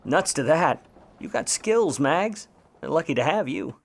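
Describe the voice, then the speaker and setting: An actor playing a wry, grudging, hard-boiled Hollywood private eye of the 1950s in old radio noir style, full of slangy wisecracks. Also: male voice, on the high side, animated, unhurried, creaky, slight background noise. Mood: warm, earnest. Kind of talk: old-time radio noir acting with narration.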